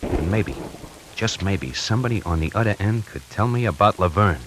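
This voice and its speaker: A man's voice: on the low side, animated, moderate pace, heavy background noise, lightly acted. A man playing a hardboiled, slangy narrator. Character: wisecracking male necktie salesman narrating his own story. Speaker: narrator and lead character. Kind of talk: 1940s radio acting. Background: music.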